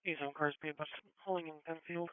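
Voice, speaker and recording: Male dispatcher on scanner, voice a bit high, thin tinny radio sound. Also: fairly even intonation, fairly quick, clean tone, no room sound. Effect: two-way radio. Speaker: emergency dispatcher.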